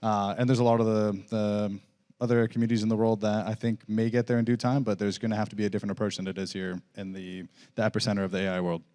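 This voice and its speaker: A man's voice: fairly low pitch, flat delivery, fast, mellow. A man moderating a live panel, friendly and speaking directly to the audience.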